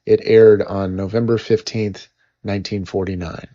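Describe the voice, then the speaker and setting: A man's voice: fairly low pitch, steady tone, normal speed, warm tone, dry recording. A man hosting with a casual, informal introduction style. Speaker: host presenting old radio episodes. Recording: dull, lo-fi, dry recording.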